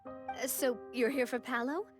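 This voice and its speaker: A woman's voice: high-pitched, a little slow, music in the background. A woman voicing a confident, gracious young woman leader in a video game, poised and reassuring with a touch of pride.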